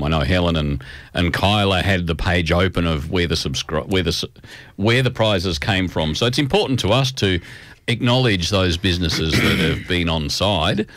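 A man's voice: deep voice, a bit bright, dry recording. A man hosting a radio show in casual, rambling, conversational talk from a studio. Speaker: radio host. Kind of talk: casual on-air chat. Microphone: close studio microphone.

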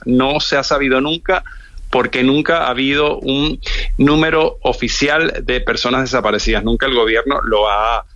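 A man with a Venezuelan accent giving an unscripted live news report, halting and repeating words as he searches for phrasing. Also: mid-range voice, pushed and bright, dry recording. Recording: dull, narrow-band remote audio link.

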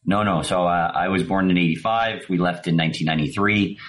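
A man's voice: sits low, fairly even intonation, fairly quick, fairly bright tone. A man in his forties from Canada, a guest in an interview, speaking hesitantly with self-deprecating asides and frequent restarts. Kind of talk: interview conversation.

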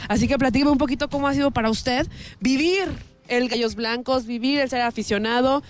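A woman's voice: high voice, brisk. A woman with a Mexican accent hosting casual on-location interviews with fans in a playful, teasing, chatty way. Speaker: radio promotion host interviewing football fans.

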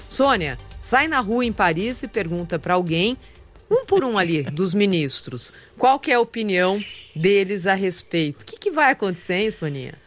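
A Brazilian woman commenting on the news on a radio show, emphatic and quick to laugh. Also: medium pitch, soft background music. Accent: Brazilian Portuguese. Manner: emphatic, lively, laughs often. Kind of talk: opinion commentary.

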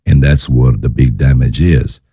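Italian-accented man with a very deep, dark voice. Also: breathy edge, dry recording, clean studio sound. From Italy, born in Naples, raised in Milan. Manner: halting, many fillers and restarts, earnest. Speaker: guest, chef and restaurateur. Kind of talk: studio interview. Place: studio.

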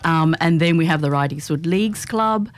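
Soft-spoken woman guest. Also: low-pitched, moderate pace. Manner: brief, agreeable answers. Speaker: festival organiser guest. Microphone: studio mic.

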